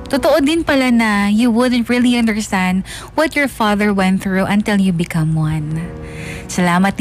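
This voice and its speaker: Filipino woman narrating over music. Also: mid-range voice. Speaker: radio host and story narrator. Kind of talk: dramatic first-person letter narration. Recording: music bed behind the voice. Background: background music.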